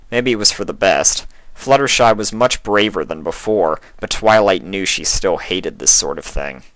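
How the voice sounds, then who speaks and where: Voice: low-pitched, fairly bright tone, no room sound. A storyteller reads scripted fiction as narrator. The recording is very dry and close, and the delivery is that of a prose reading. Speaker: narrator, fan fiction reader.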